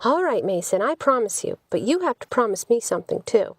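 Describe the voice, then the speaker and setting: A woman voicing a sweet, chatty young woman who is easily exasperated, in an animated audiobook reading. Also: a little high, a little fast, breathy edge, dry recording, studio quality. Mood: reassuring. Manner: animated, indignant when challenged.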